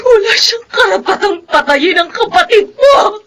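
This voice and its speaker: A woman's voice: extremely high pitch, expressive, measured pace, fairly bright tone, raised voice, full character voice. An actress playing a middle-aged grieving mother in a Filipino radio melodrama, pleading and crying through her words. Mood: anguished, demanding. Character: grieving mother demanding justice for her murdered daughter.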